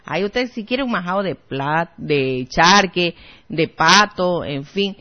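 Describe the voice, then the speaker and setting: Chatty Camba host from Santa Cruz. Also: male voice, sits high, expressive, slow, dull audio. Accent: Bolivian Camba, Santa Cruz. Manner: chatty, hesitant, full of fillers like "este". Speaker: radio or TV host. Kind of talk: casual chat on air.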